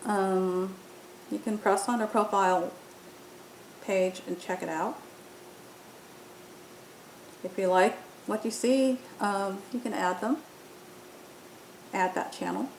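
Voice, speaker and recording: A woman talks through a software demo in an informal, explanatory way, one step at a time. Her voice sits at an average pitch. The recording is echoey, like a bare room, with faint rumbling noise underneath. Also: steady tone, takes it slowly, vocal fry, long pauses. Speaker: app tutorial presenter. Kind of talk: informal software walkthrough narration. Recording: roomy echo, faint rumbling background noise.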